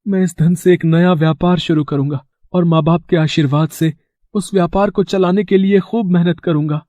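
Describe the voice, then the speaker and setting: A man voicing an honest, humble young village brother in a storybook tale, earnest and gentle, on a dull recording. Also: high voice, fairly even intonation, normal speed, no echo, close to an everyday voice. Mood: grateful. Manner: earnest, gentle, simple-hearted.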